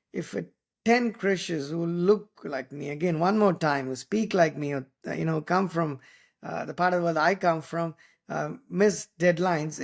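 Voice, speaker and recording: A man with an Indian English accent, whose first language is Tamil, speaks in a fairly high voice with a gravelly fry. He delivers an earnest podcast monologue of advice, full of "uh" and restarts, checking in with "right?". The talk stays unscripted and conversational. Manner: earnest, many fillers and restarts, frequent "right?" tags. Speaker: podcast host.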